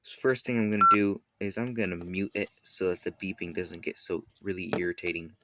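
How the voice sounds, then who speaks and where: A man giving a casual, unscripted product demonstration, explaining things haltingly and correcting himself as he goes. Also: low voice, very noisy. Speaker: product reviewer.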